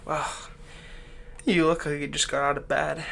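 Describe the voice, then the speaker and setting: A man playing a doting, lightly teasing fantasy villain captor in an ASMR roleplay, speaking softly and tenderly, coaxing the listener without hurry. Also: a little high, level tone, creaky, a little hiss or hum. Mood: gentle, reassuring. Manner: soft, coaxing, unhurried, tender.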